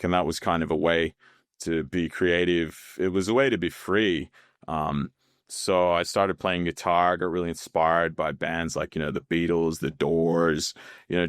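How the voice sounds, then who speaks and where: Deep-voiced Australian man, relaxed storytelling. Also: dry recording, studio quality. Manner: relaxed storyteller, laughs easily, many fillers. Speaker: podcast guest, founder. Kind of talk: casual interview chat.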